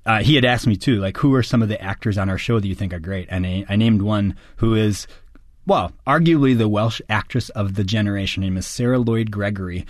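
A man's voice: fairly low pitch, a little fast, no echo, clean studio sound. A man, an actor from Wisconsin, answering interview questions with earnest enthusiasm. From Wisconsin, United States. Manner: earnest, enthusiastic storytelling with occasional laughs.

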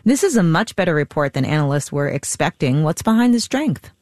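Lively woman radio news anchor. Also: sits low, fairly quick, dry recording, clean studio sound. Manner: crisp, lively newsreader delivery. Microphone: studio microphone.

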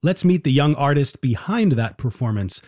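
A radio host interviewing a young musician, speaking gently and encouragingly and explaining musical terms in plain words. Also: medium pitch, lively intonation, moderate pace.

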